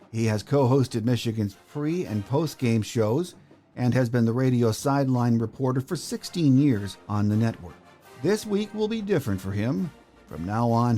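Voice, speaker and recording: American male sports podcast host, medium pitch, mellow tone, scripted delivery over faint music. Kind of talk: scripted podcast introduction and interview.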